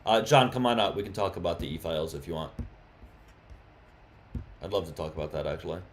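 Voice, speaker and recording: A man with a lowish voice runs a casual live stream, greeting viewers and taking callers. He talks in an easy, chatty way but gets heated and insistent when he argues. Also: animated, a little fast, a little hiss or hum. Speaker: livestream host.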